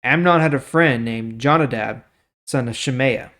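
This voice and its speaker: A man with a medium-pitched voice hosts a scripture reading series. He reads in a storytelling way and adds informal, explanatory remarks in a casual manner. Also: normal speed, a bit bright. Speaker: host of a daily Bible reading series.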